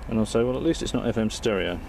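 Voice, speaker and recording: A man's voice: lowish voice, rapid-fire, gravelly, heavy background noise. A man chatting casually and talking on air as an amateur radio operator, with a hesitant, dryly joking manner. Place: vehicle outdoors in Perth suburbs. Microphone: handheld camera.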